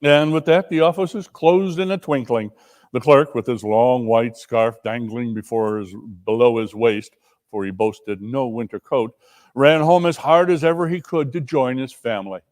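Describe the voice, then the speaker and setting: Mid-pitched man reading a story dramatically. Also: normal speed, darkish tone. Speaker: narrator and performer.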